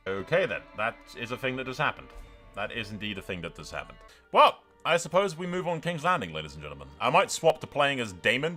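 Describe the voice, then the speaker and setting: A male gaming host with a bright, forceful voice and very lively pitch. He gives sweary, excitable play-by-play, jumping from dramatic outbursts to dry understatement, with music playing behind him. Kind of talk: excitable let's-play commentary.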